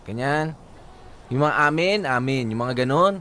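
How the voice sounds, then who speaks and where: A man's voice: medium pitch, normal speed, noisy background. A male radio host who jokes and laughs easily, bantering with a co-host and reading a listener's letter.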